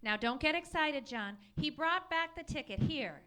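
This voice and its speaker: An actress playing a nagging, scatterbrained wife in a live radio comedy, plaintive, insistent and obliviously literal. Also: female voice, sits high, expressive, moderate pace, bright, forceful tone. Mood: innocent defensive. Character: nagging, needling, scatterbrained wife quarrelling at night. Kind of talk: live old-time radio comedy acting before an audience. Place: live theatre stage.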